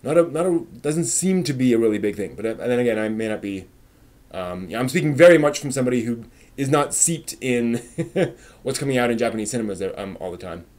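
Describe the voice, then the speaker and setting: Give this a man with a medium-pitched voice hosting a casual live stream. He talks off the cuff to his viewers, feeling his way through each thought. His delivery is halting and tends to trail off. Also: expressive, a little mellow. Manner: halting, thinking aloud, trailing off. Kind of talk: casual live-stream chat about anime, answering viewers' comments.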